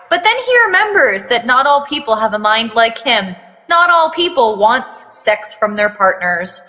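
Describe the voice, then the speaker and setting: A woman reads a story aloud in a theatrical, mocking way. Her voice is fairly high and very bright, and she slips into sarcastic asides. A long reverb trails behind her. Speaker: fan fiction reader on a live video. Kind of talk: mocking dramatic reading with asides.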